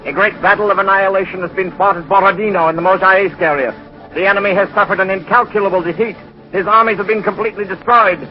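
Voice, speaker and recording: High-pitched urgent war bulletin announcer man. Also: steady tone, quick pace, natural voice. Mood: triumphant, urgent. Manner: clipped, proclamatory. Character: urgent military bulletin announcer proclaiming crushing victories. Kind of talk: 1940s radio drama newsreading. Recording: tinny, muffled 1940s radio recording.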